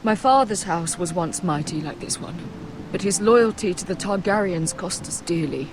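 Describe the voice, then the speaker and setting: A woman's voice: lowish voice, expressive, normal speed, background noise, lightly acted. Woman acting fierce, commanding noble mother. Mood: anguished. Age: middle-aged. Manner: intense, commanding, solemn. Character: fierce, embittered noble mother, steely and vengeful. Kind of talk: dramatic video game acting. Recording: music behind the voice.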